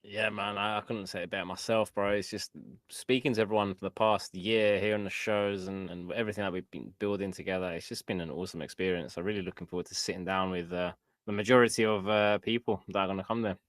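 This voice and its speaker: A man's voice: on the low side, steady tone, fast, no room sound. A man co-hosting a podcast in casual chat, enthusiastic but hesitant, with many fillers. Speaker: co-host.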